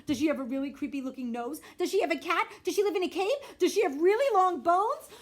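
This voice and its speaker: A woman voicing an excitable, dramatic little girl in a storybook reading. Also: extremely high pitch, voice pushed up. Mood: eager. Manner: breathless rapid questions, squealing.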